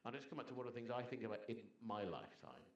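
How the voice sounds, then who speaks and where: A man's voice: medium pitch, lively intonation, very fast, gravelly, highs cut off. Earnest middle-aged man in a roomy hall. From Zambia. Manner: earnest, persuasive, builds points in lists. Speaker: panel speaker, former economy minister. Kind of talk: panel discussion talk. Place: conference hall.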